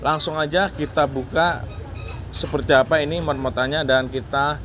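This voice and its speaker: A man's voice: fairly high pitch, fairly even intonation, normal speed, a bit bright. A man hosting a casual product test, speaking in a hesitant, repetitive way. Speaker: vlog host. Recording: clearly noisy, vehicle ambience.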